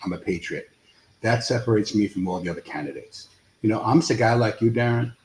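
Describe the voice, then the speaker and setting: A man's voice: on the low side, fairly quick. A man in his fifties with a New York accent, a confident and upbeat political candidate guest who answers in short affirmations. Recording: echoey room with faint background noise.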